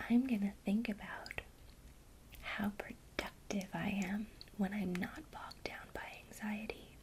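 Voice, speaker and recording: A woman teaching a witchcraft lesson in a soft, gentle ASMR whisper. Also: medium pitch, rough recording.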